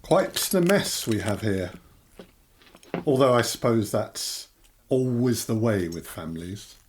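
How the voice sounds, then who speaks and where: An actor playing a sinister immortal man in an audio drama, speaking in a measured, urbane and coolly formal way. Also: lowish voice, expressive, a little hiss or hum. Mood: cool, ominous. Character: sinister, calm immortal man of many identities.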